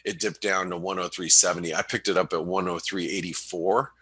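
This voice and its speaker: A man hosting a webinar, talking through market analysis in a casual, halting way, sounding nasal and sniffly from a cold. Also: deep voice, fairly even intonation, a bit bright, slightly rough. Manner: halting, many restarts and fillers. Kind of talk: casual trading commentary.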